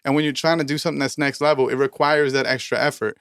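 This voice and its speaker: A thirty-year-old man co-hosting a podcast, pushing his point hard in a steady mid-range voice. He talks with heavy swearing and motivational emphasis, and his voice sounds smooth and resonant on a dry close mic. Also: medium pitch, fast talker, dry recording. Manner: emphatic, profane, preachy motivational rants. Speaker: podcast co-host, rapper. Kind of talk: casual podcast chat.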